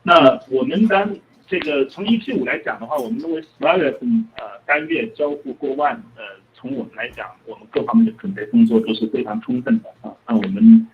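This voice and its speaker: A man's voice: medium pitch, fast talker. Muffled male executive on a conference call. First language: Chinese. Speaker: company executive answering analysts. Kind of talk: spontaneous earnings-call answers. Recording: dull conference-call line with faint noise.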